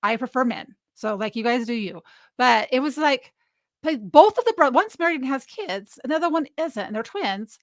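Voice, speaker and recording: High-voiced woman hosting a chatty stream. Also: lively intonation, dry recording. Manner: rambling, restarts her sentences, quick agreement. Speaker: host. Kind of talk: casual livestream chat.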